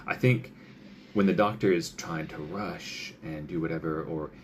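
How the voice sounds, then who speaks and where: A man's voice: deep voice, unhurried, slight background noise. A male doctor giving casual advice, chatty, with many fillers, who often acts out what patients and doctors might say.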